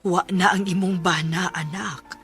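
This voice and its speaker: A woman with a Cebuano Filipino accent playing a caring, wise mother in a radio drama, gentle and expressive. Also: fairly low pitch, unhurried. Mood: gentle, reassuring. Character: caring, wise older mother counselling her widowed daughter. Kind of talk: Philippine radio drama acting. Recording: music bed under the voice.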